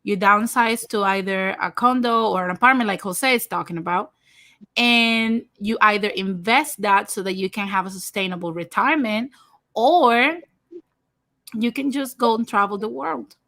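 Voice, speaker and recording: A woman's voice: mid-range voice, moderate pace, sharp tone, no room sound, clean studio sound. A woman from Puerto Rico in her thirties, hosting a casual livestream chat and talking frankly and directly to her viewers. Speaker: host of a livestream talk show.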